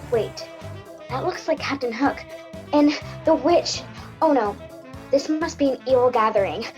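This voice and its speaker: A woman playing a cautious young girl in a stage play, speaking hushed and worried. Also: sits high, unhurried, faint music behind. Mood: alarmed.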